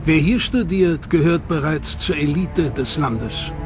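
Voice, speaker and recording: Higher-pitched male documentary narrator. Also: expressive, a little slow, loud music behind the voice.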